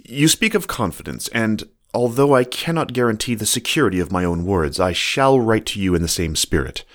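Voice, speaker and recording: A man reading the old-fashioned love letters of a genteel, earnest fox in a measured, formal way. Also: fairly low pitch, lively intonation, close to an everyday voice. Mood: wistful. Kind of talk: reading letters aloud from a story.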